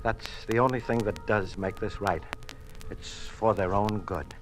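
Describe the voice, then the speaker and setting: A man's voice: on the low side, fairly even intonation, measured pace, faint music behind, lightly acted. An actor with an American accent playing an earnest, dutiful male communist conspirator in an old-time radio drama. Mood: earnest, tense. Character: uneasy male communist conspirator, later a dutiful party official. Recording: noisy vintage radio recording.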